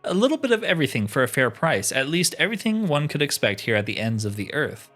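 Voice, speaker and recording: Put-upon village trader woman, played by a man, businesslike and formal, lively pitch over faint music. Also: fast talker. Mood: businesslike, concerned.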